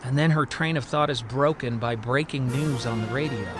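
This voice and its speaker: A man's voice: medium pitch, moderate pace, music in the background. A man telling a scripted story as a podcast storyteller, with a theatrical, emphatic and suspenseful delivery. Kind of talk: dramatic scripted story narration.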